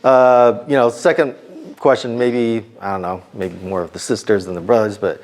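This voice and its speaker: Middle-aged male speaker, a little hoarse, preaching to students in a relaxed, talky way. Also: on the low side, moderate pace. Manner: conversational, many ums and restarts. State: slightly hoarse. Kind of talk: informal sermon to students.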